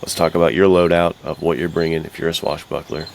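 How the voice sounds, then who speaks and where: A man with a very deep voice talks like an excited podcast co-host. His delivery is casual, slangy and bro-ish. Some noise sits behind him. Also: fast talker, gravelly. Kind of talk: casual enthusiastic podcast chat.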